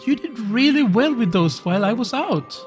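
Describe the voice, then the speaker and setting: A woman's voice: on the high side, lively intonation, moderate pace, soft background music, pitched below the performer's own voice, lightly acted. A woman voicing an easygoing, affectionate dog husband in a visual novel, with a soothing, casual, coaxing manner. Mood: tender, comforting. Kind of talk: visual novel character acting.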